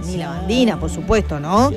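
A woman's voice: on the low side, expressive, normal speed. A woman with an Argentine accent co-hosting a show, chatting casually as she passes on information. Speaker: co-host. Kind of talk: casual chat, relaying information. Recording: music playing clearly behind. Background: music.

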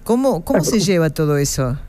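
Smooth-voiced male interviewer. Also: a little high, sing-song, brisk, dark tone. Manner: attentive, frequent short affirmations. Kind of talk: conversational interview.

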